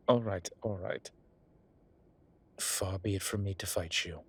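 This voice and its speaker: A man voicing a flirtatious, smitten demon professor in an intimate audio roleplay, husky and breathy, with halting, wry phrasing. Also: lowish voice, heavily acted. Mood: playful, teasing. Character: teasing, smitten male incubus demon professor. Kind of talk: intimate one-sided audio roleplay acting.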